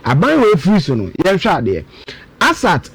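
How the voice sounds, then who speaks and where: A man's voice: sits high, huge pitch swings, normal speed, husky. A man with a Ghanaian accent explaining things emphatically and step by step in a radio discussion, switching between two languages. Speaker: guest political and economic commentator.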